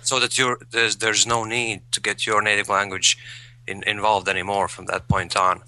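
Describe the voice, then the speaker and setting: A man's voice: lowish voice, flat delivery, normal speed, sharp tone, no room sound, low-quality recording. A man, a guest in an interview, speaking thoughtfully and repeating words as he searches for phrasing. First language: Latvian. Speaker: guest, English learner. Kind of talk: conversational interview.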